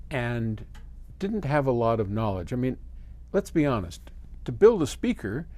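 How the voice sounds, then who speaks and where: An older American man answering a viewer's question in a casual, folksy, conversational way with expressive emphasis. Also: mid-range voice. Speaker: host, audio company head answering viewer questions.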